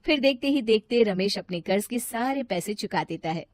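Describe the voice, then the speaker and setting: A woman's voice: mid-range voice, expressive, moderate pace, dry recording, clean studio sound. A woman reading scripted story narration as the storyteller.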